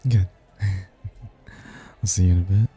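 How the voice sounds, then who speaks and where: A man playing a suave, seductive male demon in an intimate ASMR roleplay, teasing and flirtatious, with a husky, gravelly murmur. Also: fairly even intonation, warm tone, faint music behind. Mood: sly, seductive. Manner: slow, flirtatious, breathy murmurs with low chuckles.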